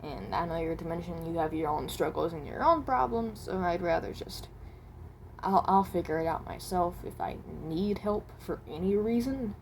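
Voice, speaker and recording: A haunted animatronic with the soul of a seventeen-year-old, voiced by a woman, speaks in a slightly low voice. The delivery is awkward and guarded, wandering through hesitations and unfinished thoughts like an improvised amateur audio drama. A light layer of noise sits on the home recording. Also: animated, moderate pace. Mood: reluctant, guarded. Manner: hesitant, rambling, trailing off. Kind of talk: amateur character voice acting, improvised monologue.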